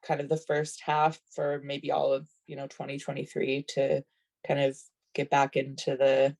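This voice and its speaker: A woman's voice: extremely low pitch, completely flat delivery, normal speed, gravelly, a little mellow. A woman in her twenties from Canada, a rugby player on a video call panel, talking casually with lots of fillers and restarts. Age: 20s. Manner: chatty, many fillers and self-corrections.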